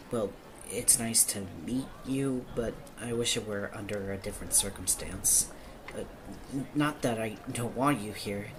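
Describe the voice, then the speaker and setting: A man's voice: on the low side, background noise, lightly acted. A man playing a nervous, awkward small-town police officer in an audio roleplay, hesitant and apologetic in manner. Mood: awkward, polite. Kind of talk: audio roleplay acting, one-sided dialogue.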